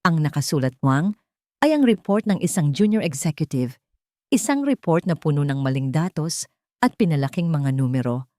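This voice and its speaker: A woman's voice: deep voice, darkish tone, no echo, studio quality. A woman reading a dramatic romance story as scripted narration.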